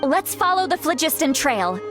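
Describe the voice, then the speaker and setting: Casting: centuries-old shaman with a youthful, highish voice, confident, breezy, prone to fretting, game music underneath. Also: big pitch swings, moderate pace, a bit bright. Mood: confident, instructive. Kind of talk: video game character acting.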